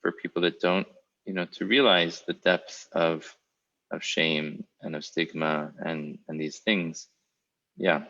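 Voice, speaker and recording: A man's voice: sits low, flat delivery, slow pace, sharp tone, muffled recording. A man hosting an interview podcast, asking long, rambling, reflective questions. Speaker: podcast host. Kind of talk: casual interview talk.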